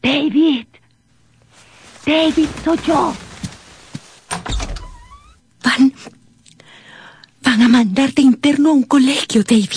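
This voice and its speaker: An actress playing a gentle, anxious housekeeper in a radio drama, tender and hesitant. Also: female voice, high-pitched, slow, long pauses. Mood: anxious, hushed. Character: gentle, anxious housekeeper fond of a young boy.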